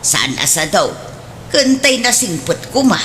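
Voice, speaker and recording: Radio drama mother, played by a woman: middle-aged, fussy, questioning and motherly, medium pitch, conversational delivery. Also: very animated, unhurried, a bit bright, a little hiss or hum. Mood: motherly, gossipy. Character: inquisitive middle-aged Ilocano mother, fussing over her son. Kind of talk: radio drama comedy acting.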